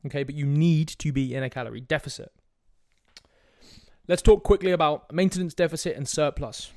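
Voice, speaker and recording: Young male podcast host, sniffly with a cold, direct and casual, slightly high voice, dry close mic. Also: a little fast, darkish tone, no room sound. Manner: direct, casual, swears, frequent "right?" asides. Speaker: fitness coach podcast host. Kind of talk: casual solo podcast talk.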